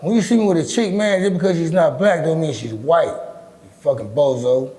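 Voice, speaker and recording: A middle-aged man with a deep, raspy voice, talking bluntly and with lots of swearing as an interview guest. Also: moderate pace. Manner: blunt, profane, animated storytelling. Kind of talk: casual interview talk.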